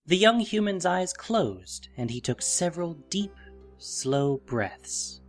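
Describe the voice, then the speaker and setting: A man's voice: sits high, very animated, slow pace, faint music behind. A man narrating a fantasy story as scripted dramatic prose.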